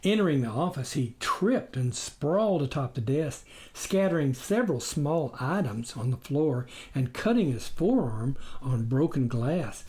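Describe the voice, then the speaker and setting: Male author-narrator for a dramatic memoir reading: measured and slow, with very varied pitch. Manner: deliberate, expressive. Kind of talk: scripted storybook narration, dramatic audiobook reading.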